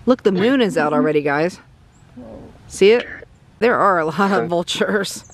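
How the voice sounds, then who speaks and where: A woman's voice: on the low side, expressive, unhurried. A woman hosting a ghost hunting vlog, talking casually outdoors in the open. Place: outdoors in an open rural cemetery.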